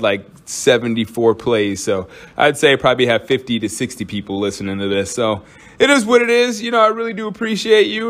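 Guy hosting his podcast, just rambling and joking around, average pitch. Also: fairly bright tone. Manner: rambling, joking, frequent fillers and restarts. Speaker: podcast host. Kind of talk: casual solo podcast chat.

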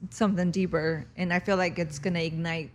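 A woman's voice: lowish voice, fairly even intonation, brisk. A woman hosting a podcast in casual, conversational style. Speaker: podcast host. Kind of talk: casual chat.

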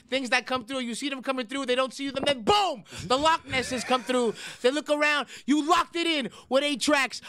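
A crude, bragging betting tout, put on by a man with a strikingly high voice. He rants and curses constantly, crisp and clear. The sound is dry and close. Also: a bit bright, no echo. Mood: hard-selling. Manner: crude, boastful, ranting, swears constantly. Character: foul-mouthed boastful male sports-betting tout. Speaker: comedy character guest.

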